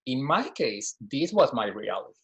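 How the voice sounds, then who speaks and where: A man's voice: medium pitch, sing-song, moderate pace, husky. A man in his forties from Guatemala giving a reflective personal talk as a design professor, full of "you know" fillers and restarts.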